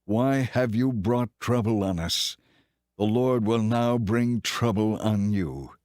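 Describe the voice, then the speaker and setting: A man's voice: on the low side, takes it slowly, a little mellow, dry recording, clean studio sound. A man playing a stern leader of the Israelites in a dramatized scripture audiobook, grave and demanding. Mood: accusing.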